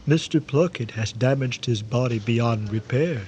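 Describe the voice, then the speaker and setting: An actor playing Death as an aloof, stiffly formal gentleman in a radio comedy drama, speaking precisely and deadpan. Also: medium pitch, measured pace, slight background noise, close to an everyday voice. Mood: formal, calm. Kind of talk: old-time style radio comedy drama acting.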